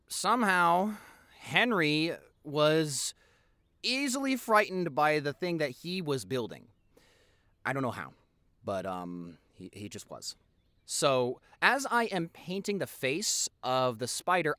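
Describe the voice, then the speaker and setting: Male actor as playful young boyfriend, lighter high-set voice, scatterbrained rambling storyteller, lively pitch swings, intimate roleplay audio. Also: dry recording. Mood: rambling, musing. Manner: scatterbrained, animated, stammering rambler. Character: playful, rambling young boyfriend telling childhood prank stories.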